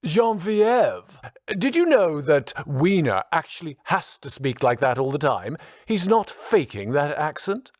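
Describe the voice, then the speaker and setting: A man's voice: on the high side, very animated, a little slow, dry recording, clean studio sound, pitched above the performer's own voice. A man voicing a pompous, portly male teacher with an affected posh British accent, drawling and condescending, in a story reading. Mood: mock fascinated.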